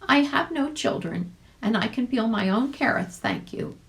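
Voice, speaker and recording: A brisk little mouse lady from a storybook, as a woman reader puts her on. She speaks high, with lively pitch and a creaky edge. Her manner is prim, curt and dismissive.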